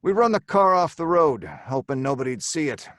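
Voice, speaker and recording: A man's voice: on the high side, animated, normal speed, a bit bright, no echo, clean studio sound. An actor playing a brash, mocking crook who narrates his own tale in slangy old-time radio drama style. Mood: tense storytelling. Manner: slangy, hard-boiled tough talk.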